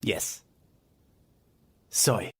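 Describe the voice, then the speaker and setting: An actor playing an unhinged, vengeful mad-scientist villain in an audio drama, with grandiose, drawn-out delivery. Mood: aggrieved, bitter.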